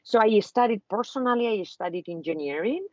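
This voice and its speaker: A woman's voice: medium pitch, animated, a little fast, no room sound. Spanish-accented woman on a muffled call. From Spain. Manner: hesitant, many fillers and restarts. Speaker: venture capitalist guest speaker. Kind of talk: online talk to students. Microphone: video call. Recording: muffled video call audio.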